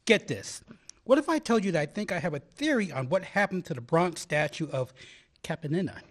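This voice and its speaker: A man's voice: a little high, expressive, fairly quick, fairly bright tone. A man playing a joking young grad student. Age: young adult. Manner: playful, laughs at own jokes. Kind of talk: amateur radio play acting.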